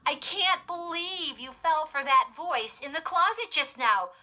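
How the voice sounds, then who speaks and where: Prankster schoolgirl for a storybook adult female reader: light high pitch, casual taunting delivery, lively swings. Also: measured pace, full character voice. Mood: gleeful, teasing. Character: teasing, mischievous young girl, the prankster twin.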